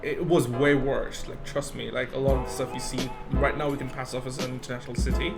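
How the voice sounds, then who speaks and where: A man from Bangladesh interviewing a guest casually, often saying "yeah" and pausing on "um". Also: mid-range voice, level tone, vocal fry. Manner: frequent "yeah" backchannels, hesitant with fillers. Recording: faint music behind.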